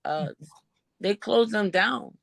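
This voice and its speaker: A woman in her forties with a raspy voice, speaking earnestly and a bit apologetically on a video support call. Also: medium pitch, slow pace, a bit bright, no echo. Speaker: support group member. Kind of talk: casual group chat.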